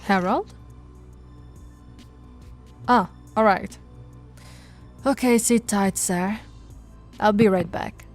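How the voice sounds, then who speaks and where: Policewoman played by a woman, patient and teasing, soft conversational delivery, unhurried pace, intimate ASMR roleplay. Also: medium pitch, faint music behind. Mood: businesslike. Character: flirty, patient, teasing policewoman reassuring a suspect.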